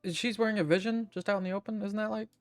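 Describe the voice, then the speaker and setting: A woman's voice: mid-range voice, steady tone, very fast, dry recording, faint music behind. A woman in her early twenties giving casual, blunt, sardonic gameplay commentary with plenty of swearing. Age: early 20s. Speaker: streamer.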